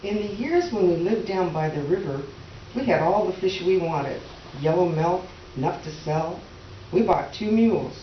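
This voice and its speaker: A woman's voice: on the low side, lively intonation, normal speed, natural voice. A woman playing a weary, resigned tenant farmer's wife in a solemn, lyrical staged reading. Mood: wistful, bitter. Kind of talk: readers theater dramatic monologue. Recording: echoey room, distant mic, noisy, dull.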